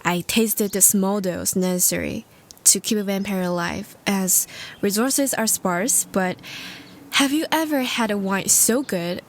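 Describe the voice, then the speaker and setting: A woman's voice: on the high side, a little hiss or hum, natural voice. A woman voicing a polite, lovestruck ancient vampire who speaks in formal, old-fashioned, hesitant and earnest phrases in an intimate roleplay audio drama.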